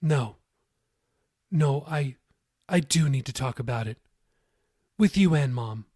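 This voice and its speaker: A man's voice: mid-range voice, lively intonation, takes it slowly, a little mellow, no room sound, studio quality. Subdued, troubled daughter, read by a man. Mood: apologetic. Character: troubled, apologetic grown daughter. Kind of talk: audiobook character dialogue.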